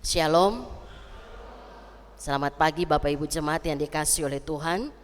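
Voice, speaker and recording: A woman's voice: low voice, moderate pace. A woman pastor leading a church service, her voice nasal and congested from a cold, chatting easily with the congregation. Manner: chatty with the congregation, tags lines with "ya" and "gitu kan". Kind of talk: church service prayer and sermon. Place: church sanctuary. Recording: long church hall reverb.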